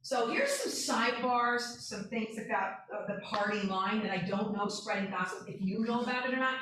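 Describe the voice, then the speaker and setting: A woman's voice: fairly high pitch, lively intonation, moderate pace, background noise. An American woman co-presenting a talk in a chatty storytelling way, putting questions to her listeners. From northeastern USA. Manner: chatty, engages the audience with questions. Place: echoey indoor presentation room. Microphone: far room camera or microphone. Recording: very echoey room, distant mic.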